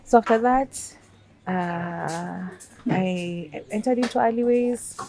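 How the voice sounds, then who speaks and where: Young Kenyan woman, slightly low voice, chatty and animated, laughs often; chef guest. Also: takes it slowly, creaky, a crowd in the background. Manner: mixes in Swahili phrases, laughs often, animated storytelling. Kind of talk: casual interview chat.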